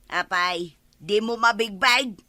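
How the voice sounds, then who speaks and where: An actress with an Ilocano accent playing an excitable, nagging village woman in a radio comedy drama. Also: mid-range voice, takes it slowly. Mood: bickering.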